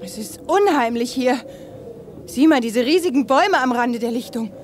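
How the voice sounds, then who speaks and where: Young female adventurer for sci-fi radio drama: high voice, uneasy, spirited, faint noise behind. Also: animated, normal speed. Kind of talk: German 1980s sci-fi radio play acting.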